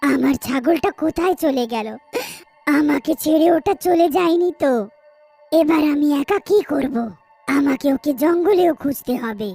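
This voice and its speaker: A woman voicing a fearsome, proud, lonely witch of the forest in a horror cartoon, with loud, commanding delivery. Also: high-pitched, unhurried, creaky, dry recording, soft background music. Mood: worried, lonely. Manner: imperious, loud, menacing declarations.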